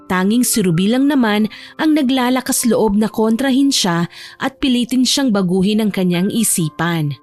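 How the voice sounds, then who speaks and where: A woman's voice: mid-range voice, expressive, moderate pace, darkish tone, faint music behind. A woman narrator delivering dramatic scripted storytelling for an audio drama.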